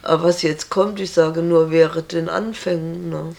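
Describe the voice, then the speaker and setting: A woman's voice: deep voice, steady tone, moderate pace, gravelly, darkish tone, a little hiss or hum. A woman answering interview questions in a blunt, firm and direct way.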